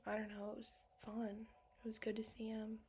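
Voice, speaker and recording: Whispering young woman, flat delivery. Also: fairly high pitch, normal speed, slight background noise. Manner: hushed whispering, frank and profane. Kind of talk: casual personal storytelling.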